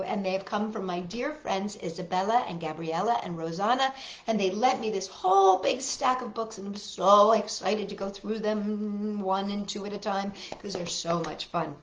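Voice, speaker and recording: A woman with a very melodic, swooping voice presents stories to young children in an expressive, exaggerated style. She takes her time over each phrase. The recording has a noticeable room echo. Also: medium pitch. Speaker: children's storytime host. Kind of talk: animated picture-book read-aloud and sing-along for young children. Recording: roomy echo with guitar music.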